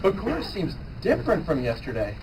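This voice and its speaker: Male co-reader for a live comic manga reading, lively delivery, noisy convention recording. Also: fairly high pitch, fairly quick, recorded from a distance.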